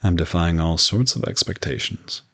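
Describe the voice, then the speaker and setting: A man playing a gentle, courteous old vampire in an intimate audio roleplay, reassuring and lightly teasing as he speaks to the listener. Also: deep voice, moderate pace, gravelly. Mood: conversational, friendly. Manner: soft-spoken reassurance, politely formal with teasing asides.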